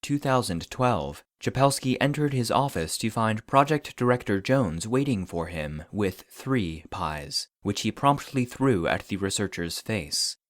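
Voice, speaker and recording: A man's voice: fairly low pitch, lively intonation, unhurried, few pauses, no room sound, studio quality. A man reading scripted fictional case files as a narrator, in a formal, clinical report manner.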